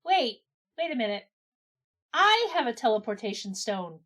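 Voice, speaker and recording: Woman playing a high, animated gnome. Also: moderate pace. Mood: brisk. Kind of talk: comedic audio drama dialogue.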